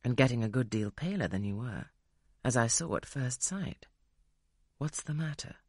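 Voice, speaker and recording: An audiobook reader voicing a brusque, imperious gentleman of the manor who questions bluntly. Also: medium pitch, expressive, moderate pace, breathy edge, no echo, studio quality. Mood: probing. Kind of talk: audiobook character reading.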